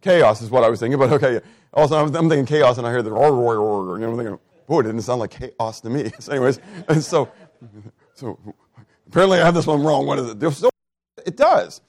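A man's voice: mid-range voice, brisk, no room sound. Man in his fifties preaching a sermon. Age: 50s. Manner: rhetorical questions to the congregation, swings loud and soft.

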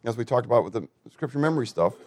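Preacher, man in his fifties, mid-pitched, dry close sound, rhetorical call-and-response delivery. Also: animated, racing, no echo. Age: 50s. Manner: rhetorical questions to the congregation, swings loud and soft. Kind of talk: sermon.

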